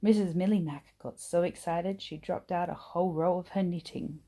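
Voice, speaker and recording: A woman reading a children's bedtime story aloud in an expressive, acted-out manner. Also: on the low side, normal speed. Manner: animated, acts out dialogue and sound words. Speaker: storybook reader.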